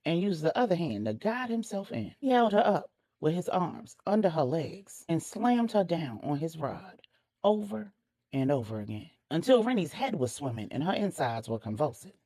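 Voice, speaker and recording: A woman's voice: fairly low pitch, very animated, normal speed, dark tone, no room sound, clean studio sound. A woman narrating a story expressively as a storyteller, with a conversational touch.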